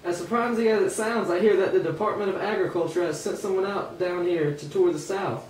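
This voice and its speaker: Man acting high-voiced disgruntled working man, echoey. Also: steady tone, a little fast, natural voice. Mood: concerned. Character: disgruntled 19th-century American working man, sceptical of politics. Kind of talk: amateur scripted puppet show. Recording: echoey room, distant mic, faint noise.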